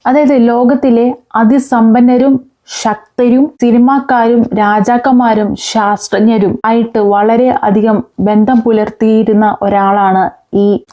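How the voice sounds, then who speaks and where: A woman hosting an explainer vlog, talking directly to viewers. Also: fairly high pitch. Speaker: video host. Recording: dull, lo-fi sound.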